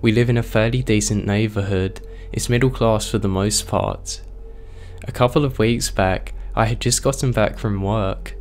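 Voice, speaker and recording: Low-voiced man with a strained, hoarse voice. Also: fairly even intonation, normal speed, a little mellow, slight background noise. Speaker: horror story narrator, channel host. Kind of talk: scripted horror story narration.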